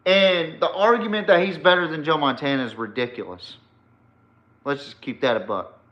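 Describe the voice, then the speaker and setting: A man's voice: on the high side, lo-fi sound. A man hosting a sports podcast, giving casual, opinionated commentary in a blunt, emphatic way and swearing freely.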